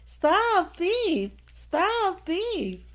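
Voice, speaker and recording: Woman putting on an angry gardener, high urgent shouting. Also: very animated, very slow, heavily acted. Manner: loud, urgent calling out. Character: angry male gardener shouting after a thief.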